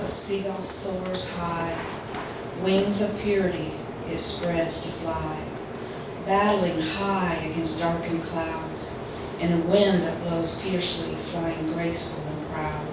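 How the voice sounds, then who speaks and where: A woman reading a poem aloud as a congregation member at a service. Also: on the low side. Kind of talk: poetry recitation. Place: large echoey indoor room at a church service, mic at a distance. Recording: distant, very echoey, loud noise.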